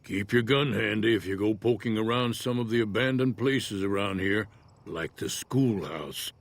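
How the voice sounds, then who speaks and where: An actor playing an easygoing older American prospector in a Western-style video game, folksy and laconic in manner. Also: male voice, fairly low pitch, moderate pace. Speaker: game character, townsfolk prospector. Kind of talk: video game character dialogue.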